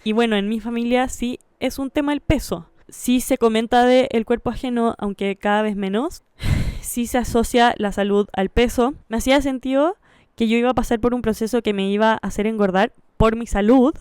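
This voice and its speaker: A woman's voice: a little high, steady tone, fairly quick, darkish tone, clean tone, dry recording, clean studio sound. A woman with a Chilean Spanish accent telling her personal story in a reflective, candid way, like a vlogger.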